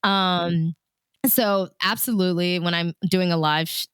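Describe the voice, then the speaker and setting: Very clear-voiced woman chatting casually. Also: lowish voice, fairly even intonation, unhurried, fairly bright tone, dry recording, clean studio sound. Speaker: radio DJ and show host, interview guest. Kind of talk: casual interview chat.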